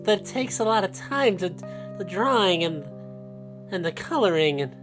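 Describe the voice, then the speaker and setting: A woman's voice: medium pitch, animated, measured pace, over music, lightly acted. A woman playing a tired, evasive male comic artist who stammers hesitantly in a conversational skit. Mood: sheepish.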